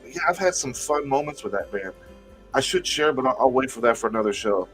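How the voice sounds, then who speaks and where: A man in his forties hosting a casual music chat show, animated and swearing freely, often repeating words as he talks. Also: mid-range voice, fast talker, low-quality recording. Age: 40s. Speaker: show host.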